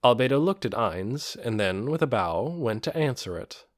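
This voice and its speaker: A man's voice: mid-range voice, animated, normal speed, no room sound, studio quality. A man narrating a fantasy audiobook in a scripted, storytelling style.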